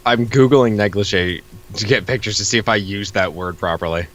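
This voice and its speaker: A man's voice: fairly low pitch, brisk, fairly bright tone. A man co-hosting in casual banter, sardonic and exasperated, with plenty of swearing. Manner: exasperated, sardonic, foul-mouthed. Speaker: co-host reacting to a webcomic.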